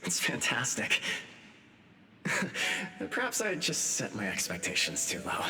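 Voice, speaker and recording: A man's voice: mid-range voice, huge pitch swings, unhurried, gravelly, exaggerated voice. A man voicing a cheerful, carefree male spirit in an anime-style game, chatty and effusive. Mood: delighted.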